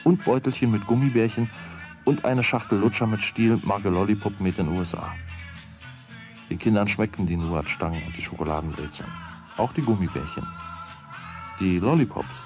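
A middle-aged man narrating a children's radio play as a calm storyteller. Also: low voice.

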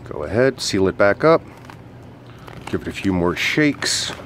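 Low-voiced man giving a casual product demonstration. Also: sing-song, unhurried, noisy background. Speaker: product reviewer and outdoor coach.

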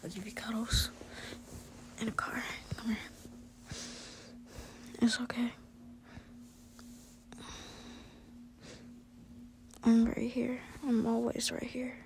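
A woman voicing a caring young male boyfriend who talks in a soft, hushed whisper to calm his partner. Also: fairly high pitch, measured pace, vocal fry, long pauses, background noise. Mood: soothing.

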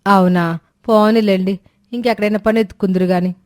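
A woman's voice: mid-range voice, steady tone, brisk, no room sound, studio quality, close to an everyday voice. A woman playing a devoted, plain-spoken village wife in a moral story, with homely colloquial speech. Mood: concerned. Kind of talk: animated moral-story dialogue.

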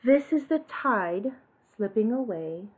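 Female teacher type for picture-book reading: expressive, slow-paced, on a narrow, dull home recording. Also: a little high. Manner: expressive, asks listeners questions. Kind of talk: children's picture-book read-aloud. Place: living room at home. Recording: dull, phone-like home video audio.

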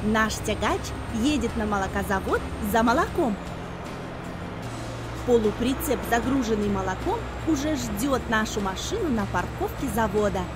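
A woman's voice: fairly high pitch, animated, normal speed. A woman narrating a children's educational cartoon, explaining things simply in scripted storytelling. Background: background music.

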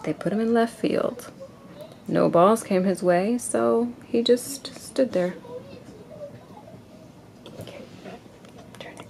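A woman reading a children's picture book aloud to a child in an expressive storytelling way. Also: medium pitch, takes it slowly, creaky, pauses often. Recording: noisy amateur home recording.

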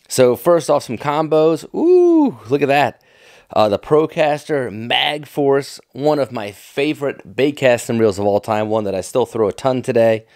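A man's voice: medium pitch, lively intonation, unhurried, darkish tone, dry recording, clean studio sound. A middle-aged American man giving casual, off-the-cuff commentary in a folksy, enthusiastic, nostalgic manner. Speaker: fishing tackle vlogger.

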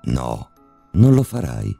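A man playing a cold, calm, sadistic male surgeon who speaks with polite, mocking menace in a horror story reading. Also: very deep voice, takes it slowly, vocal fry, husky, faint music behind, exaggerated voice. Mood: icy calm.

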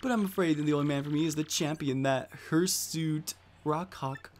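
A man's voice: fairly high pitch, moderate pace, slight background noise, lightly acted. A man voicing a haughty, flirtatious lady from a video game with a drawling, condescending manner. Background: game music.

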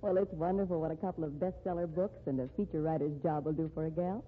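An actress playing a well-off, determined society woman with a brisk, concerned manner in a 1950s radio drama. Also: sits low, natural voice. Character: insistent well-off society woman, successful writer. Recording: tinny, noisy 1950s radio recording.

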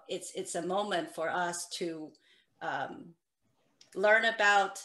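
A woman's voice: on the low side, fairly bright tone. A middle-aged woman raised in Taiwan with a Taiwanese accent, an attorney speaking earnestly on a video call from home. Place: home room with piano. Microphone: video call webcam. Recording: echoey room.

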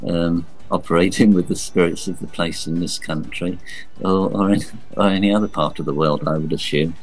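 Very deep-voiced hesitant man. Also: a little mellow, low-quality recording. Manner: hesitant, repeats words, thoughtful. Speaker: guest author. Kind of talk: interview answers.

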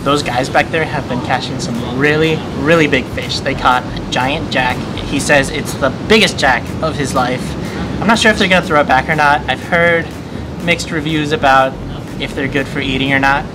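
A man's voice: mid-range voice, normal speed, low-quality recording. A man in his thirties, a fishing vlogger, explaining to camera outdoors on an ocean pier. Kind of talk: casual vlog narration. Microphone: action camera at arm's length. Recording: music under the voice.

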